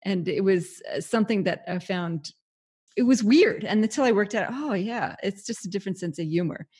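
A Canadian woman hosts a podcast with a slightly husky, breathy voice. She talks in a relaxed, easygoing way at a middle pitch. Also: normal speed. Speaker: podcast host. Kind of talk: casual interview chat.

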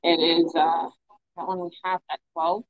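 A woman's voice: lowish voice, level tone, moderate pace, dry recording, lo-fi sound. A woman working at an arcade showroom, casually explaining machines and prices with fillers, picked up indoors by a phone. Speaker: showroom staff.

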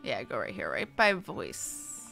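A woman's voice: very deep voice, wildly swooping pitch, unhurried, vocal fry, bright, forceful tone, soft background music. A woman streamer chatting casually and muttering to herself as she plays a game. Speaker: streamer playing an online fantasy game. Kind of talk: casual live gaming commentary.

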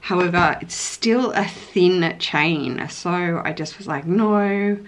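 A woman's voice: fairly low pitch, slow pace. A woman in her thirties with an Australian accent, chatting casually and gushingly to camera for a vlog at home. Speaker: vlogger. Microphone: camera at arm's length or on table.

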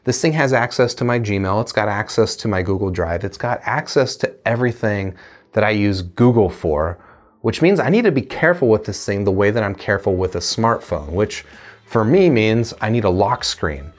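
A man's voice: fairly low pitch, lively intonation, normal speed. A man in his thirties talking casually to camera as a gadget reviewer, explaining things conversationally into a lapel mic. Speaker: gadget reviewer, video host. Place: indoor studio room.

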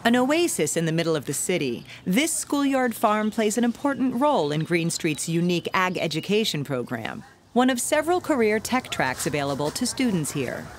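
A woman's voice: on the low side, sing-song, normal speed, few pauses, slight background noise. A woman narrating a television feature in an upbeat, scripted storytelling manner.

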